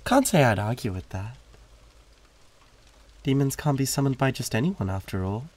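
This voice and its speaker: A man's voice: medium pitch, lively intonation, normal speed, a little mellow, lightly acted. A British man playing a suave, condescending, flirtatious demon in a theatrical roleplay audio drama, with a haughty drawl. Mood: seductive.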